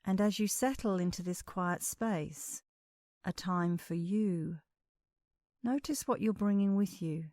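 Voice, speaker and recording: A woman leading a guided meditation as an instructor, speaking in a calm, soothing, measured way with long pauses. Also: mid-range voice, no room sound, clean studio sound.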